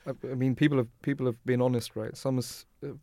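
A man's voice: mid-range voice, level tone, quick pace, dark tone. A man co-hosting a radio show, reading poll results in a casual way, repeating words as he goes. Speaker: radio co-host. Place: radio studio.

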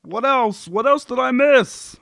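A man's voice: very high voice, unhurried, gravelly, fairly bright tone, no room sound, studio quality, heavily acted. A man playing a whiny, defensive sitcom husband in a script table read. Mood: curious, plaintive.

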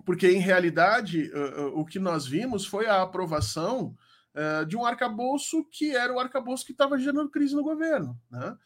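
A man with a cold talks in a fairly high, congested voice. He explains politics like a teacher over a video call, looping back with fillers and restarts. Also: very animated, normal speed, a bit bright. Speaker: political commentator, history teacher. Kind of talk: casual live show commentary.

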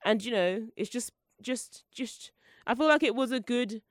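A woman's voice: a little high, normal speed, no room sound, studio quality. A young woman hosting her own solo podcast, chatting in a rambling, playful and self-mocking way, her voice congested from the flu. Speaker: podcast host.

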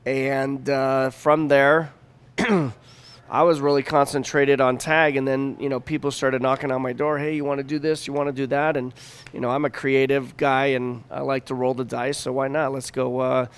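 A man's voice: mid-range voice, little pitch movement, normal speed, clear, resonant tone. A man in his fifties chatting casually as an interview guest, with informal, slangy talk. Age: 50s. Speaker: chef and restaurant owner, interview guest. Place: downtown Denver venue.

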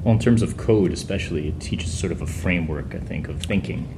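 Male interviewer, very low voice, soft short prompts, noisy recording with rumble. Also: fairly quick. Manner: soft, brief prompts and affirmations. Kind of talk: interview questions.

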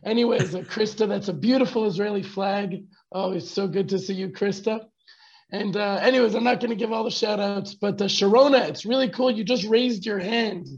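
A hoarse man who has lost his voice, casually chatting and greeting viewers by name in a live online talk. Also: high-pitched. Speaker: fellowship teacher. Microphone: video call. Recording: dull video call audio.

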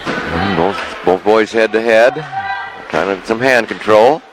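A man's voice: lowish voice, measured pace, music in the background. A man giving live radio sports commentary from a school gymnasium, describing moves in short, technical fragments. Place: high school gymnasium during a live meet. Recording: very noisy live gymnasium crowd recording. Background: loud crowd and gym noise.

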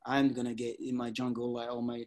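A man, chatting casually about parkour in animated, slightly broken English with laughs. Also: medium pitch, a little fast. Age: 40s. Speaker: parkour practitioner. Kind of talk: casual conversation.

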